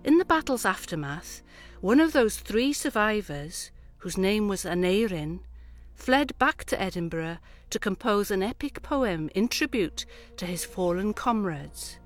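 A woman from Wales, a Welsh speaker, narrating a radio documentary in a measured, reflective way. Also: medium pitch, lively intonation, faint music behind. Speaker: poet presenter.

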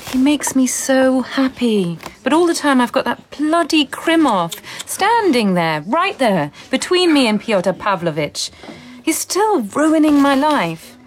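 A woman's voice: high voice, very animated, a little slow, slight background noise. An actress in a radio drama playing an anguished, bitter and volatile Soviet woman in love. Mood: wistful, bitter.

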